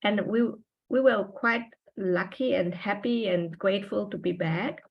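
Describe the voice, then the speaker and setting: A woman in her forties whose first language is German speaks English in a thoughtful, halting way, pausing often to find her words. Her voice sits at an ordinary pitch for a woman. The recording sounds a bit dull and muffled. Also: creaky. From Austria and Taiwan. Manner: reflective and halting, with many pauses and fillers. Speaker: podcast guest. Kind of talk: personal interview conversation.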